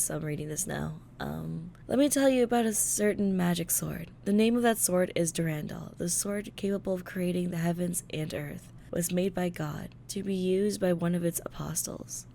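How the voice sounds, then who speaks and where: Animated high-pitched sarcastic woman. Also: no echo. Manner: sarcastic, exasperated, blunt with swearing. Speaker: video creator reviewing a game's visual novel. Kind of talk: casual ranting summary commentary.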